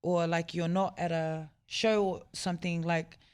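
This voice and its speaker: A woman's voice: fairly low pitch, flat delivery, measured pace, darkish tone, clear, resonant tone. A young woman hosting a casual radio interview, chatty and affectionate, with lots of "like" and "you know.". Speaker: radio show host.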